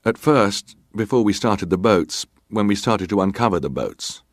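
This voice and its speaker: Casting: middle-aged male naval officer in hearing drama, deep voice, clipped formal answers, close dry sound. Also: lively intonation, moderate pace, no echo. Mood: guarded, composed. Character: formal ship's officer testifying, guarded and terse. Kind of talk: dramatised reading of hearing testimony.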